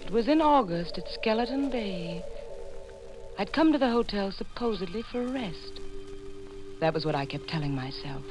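A woman's voice: medium pitch, animated, normal speed, close to an everyday voice. An actress playing an intense, thrill-hungry lady novelist, narrating dramatically in 1940s radio suspense style. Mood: brooding. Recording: old 1940s radio broadcast, music behind.

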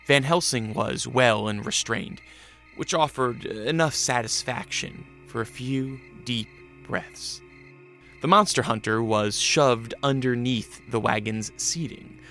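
A man with a gravelly voice reading scripted narration for a radio drama. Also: mid-range voice, measured pace, pushed and bright, faint music behind.

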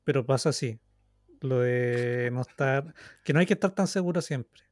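A man's voice: mid-range voice, normal speed, darkish tone, no echo, clean studio sound. A man in his thirties with a Chilean accent, co-hosting a casual podcast in a rambling, self-mocking, repetitive way. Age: 30s. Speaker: podcast co-host. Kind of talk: casual, joking chat.

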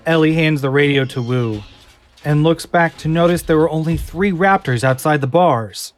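A man reading stage directions as narrator of a fan audio drama in an even, descriptive way. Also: a little high, moderate pace, soft background music.

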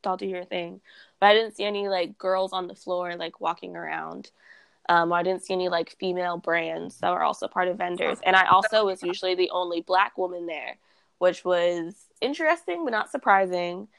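Young woman, podcast guest, casual chat, chatty, gravelly fry, dry close sound. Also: fairly low pitch, steady tone, moderate pace, fairly bright tone, no echo. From Texas. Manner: chatty, laughs often, lots of "like". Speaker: guest, cannabis brand founder.

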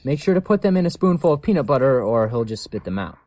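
Caring male shelter worker, mid-pitched, earnest and cautionary, dry recording, audio drama acted by a man. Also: very animated, fast talker, a little mellow, no echo. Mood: cautioning, helpful. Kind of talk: scripted audio story acting.

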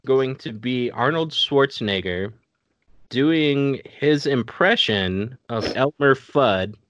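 A man hosting a casual podcast and handing out prompts to the other players. His voice is mid-pitched, recorded dry with a slightly dull, muffled sound. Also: expressive, slow pace, fairly bright tone, dry recording. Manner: apologetic, directing the game. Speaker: podcast host running a game. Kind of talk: casual chat.

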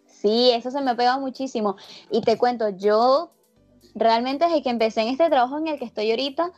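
A Venezuelan woman with a slightly raised voice talks in loose, rambling sentences, stopping and restarting her thoughts. Her Venezuelan accent now and then slips into an Argentine lilt. The audio is muffled and lo-fi, with soft music playing underneath. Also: a little high, fairly quick. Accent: Venezuelan Spanish with a slight Argentine lilt. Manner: chatty, rambling, many restarts and fillers. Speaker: interviewee. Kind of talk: casual chat.

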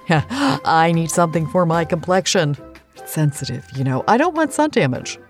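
A woman's voice: deep voice, big pitch swings, normal speed, faint music behind. A woman playing a demanding, flirtatious cosmetics shopper in a campy audio drama. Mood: flirty, demanding.

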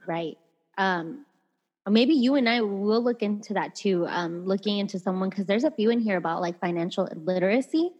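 A chatty young woman co-hosting a podcast, laughing easily and speaking loosely with many fillers. Her voice sits at an ordinary pitch. The audio is dull and lo-fi, with a long reverb trailing after it. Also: moderate pace, darkish tone. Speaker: podcast co-host. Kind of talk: casual chat reading listener messages.

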